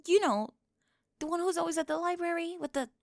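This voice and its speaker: A woman acts out a teenage cheer captain with a high voice in an ASMR drama. She is bossy and indignant, interrogating in pompous formal terms while getting flustered and secretly jealous. The sound is dry and close. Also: fairly quick, creaky, no echo. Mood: insistent. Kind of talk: scripted ASMR roleplay acting.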